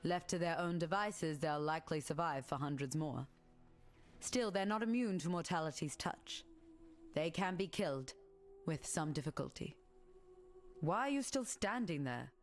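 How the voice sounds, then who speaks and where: An actress playing a stern, wary woman in a dark fantasy video game, speaking in a matter-of-fact, curt way. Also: low-pitched, lively intonation, moderate pace. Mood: grave, impatient. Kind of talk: video game character dialogue. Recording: music behind the voice.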